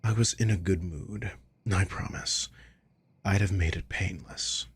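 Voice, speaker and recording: A man playing a sadistic villain in an ASMR roleplay, coolly menacing and mockingly caring, speaking in a breathy, deliberate, taunting way. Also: low-pitched, steady tone, full character voice. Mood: disappointed, taunting. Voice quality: breathy, husky. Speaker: audio roleplay voice actor.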